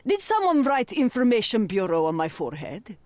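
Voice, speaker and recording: A woman's voice: mid-range voice, wildly swooping pitch, brisk, a little mellow, dry recording. An actress voicing a stern, suspicious elderly landlady in a detective video game, scolding and bossy. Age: older. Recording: dry close studio sound.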